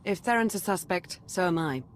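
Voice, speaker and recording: An actress playing a grave, calculating adviser in a video game, formal and deliberate. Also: female voice, fairly low pitch, animated, a little hiss or hum, lightly acted. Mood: calculating, resolute. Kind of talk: cinematic video game voice acting.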